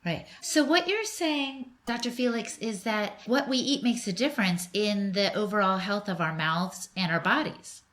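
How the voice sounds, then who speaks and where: A woman's voice: medium pitch, moderate pace, few pauses, fairly bright tone. A middle-aged woman hosting a podcast interview, asking curious questions with light laughter. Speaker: podcast host. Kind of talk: interview conversation.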